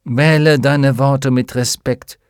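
A man's voice: medium pitch, steady tone, takes it slowly, clear, resonant tone, no echo, clean studio sound, natural voice. A man doing a solemn, grave village chief. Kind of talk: audiobook character voice.

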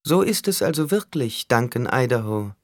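A man's voice: fairly high pitch, big pitch swings, normal speed, no echo, studio quality, voice pushed up. A man voicing a cunning shapeshifter villain in an audiobook, smug and taunting as he negotiates with menace. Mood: musing, probing. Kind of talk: audiobook character acting.

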